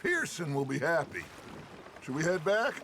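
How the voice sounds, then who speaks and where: An actor playing a genial, hearty outlaw gang leader in a video game, expansive and commanding in manner. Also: male voice, on the high side, big pitch swings, normal speed, fairly bright tone. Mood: satisfied, easygoing. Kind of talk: video game dramatic dialogue. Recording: clear water noise behind. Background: boat on water.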